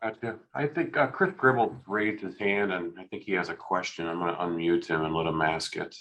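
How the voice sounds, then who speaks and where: A man with a deep, low voice moderates an online discussion. His hosting is informal and conversational, as part of a video meeting. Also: fairly even intonation, a little fast, a bit bright, lo-fi sound.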